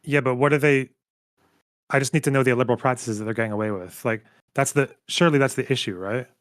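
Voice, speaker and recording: A man's voice: medium pitch, fast talker, a bit bright, no room sound, clean studio sound. A man in a casual online debate, pressing the other speaker for concrete answers. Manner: probing, pushes for specifics. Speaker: debate guest.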